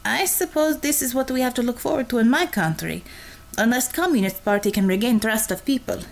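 A woman's voice: on the high side, fairly quick, creaky, pitched above the performer's own voice. A woman voicing a brash, tough Russian commissar with a put-on Russian accent, speaking bluntly in clipped phrases without articles, read as an audiobook character.

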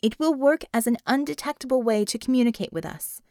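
A woman voicing a small young female magician-technician who explains things in a brisk, businesslike way, in an audiobook. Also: fairly high pitch, lively intonation, mellow, dry recording, studio quality. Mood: matter-of-fact. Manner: matter-of-fact, businesslike, explanatory.

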